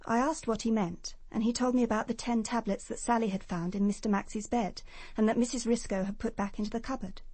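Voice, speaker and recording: An English actress playing a prim, self-assured nurse giving precise testimony in a British radio crime drama. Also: female voice, fairly high pitch, fairly even intonation, a little fast, hardly any pauses, a little mellow, no echo. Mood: brisk, matter-of-fact.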